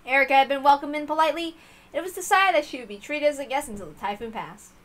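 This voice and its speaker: A woman with a high-pitched, bright voice reads aloud from a visual novel. Her delivery is scripted narration, told dramatically as a story. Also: fast.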